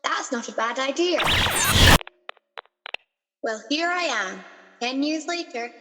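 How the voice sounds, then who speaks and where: A woman's voice: high voice, lively intonation, slow pace, in a large hall, heavy background noise. A woman acting an inquisitive time-travelling radio presenter in a comic radio play, plain-spoken and questioning. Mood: curious.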